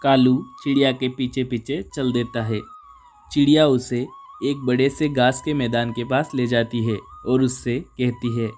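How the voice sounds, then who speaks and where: A man's voice: mid-range voice, steady tone, unhurried, a little mellow, slight background noise. A man narrating a scripted children's moral story. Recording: music under the voice. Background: background music.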